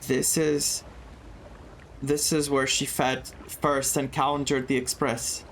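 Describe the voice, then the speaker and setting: A man's voice: medium pitch, little pitch movement, slow, fairly bright tone, slight background noise, rough recording. A man streaming a story game playthrough, reacting emotionally as he plays. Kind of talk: casual playthrough commentary.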